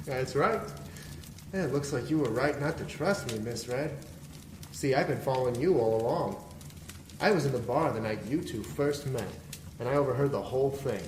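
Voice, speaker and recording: A man's voice: mid-range voice, moderate pace, a little mellow, close to an everyday voice. A man playing a sly, treacherous young informant in a noir audio drama. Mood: gloating. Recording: echoey space, faint background noise.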